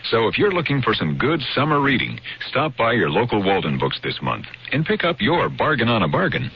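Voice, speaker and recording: An American man reading a scripted radio sales pitch as a commercial announcer. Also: huge pitch swings, a little fast, gravelly, slight background noise. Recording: dull lo-fi radio recording.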